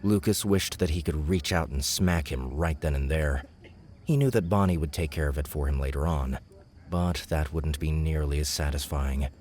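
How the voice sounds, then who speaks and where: A man's voice: very deep voice, normal speed, darkish tone. A man reading scripted fantasy fiction as an audiobook narrator.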